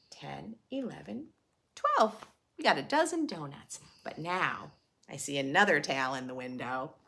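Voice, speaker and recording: A woman's voice: fairly low pitch, vocal fry, sharp tone. A woman hosting a read-aloud for preschoolers, expressively reading a picture book and adding simple teaching questions and explanations in a dramatic way.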